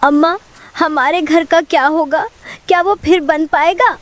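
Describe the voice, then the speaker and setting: High-voiced frightened little girl played by a woman. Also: a little slow. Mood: fearful, innocent. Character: poor frightened little village girl, innocent daughter.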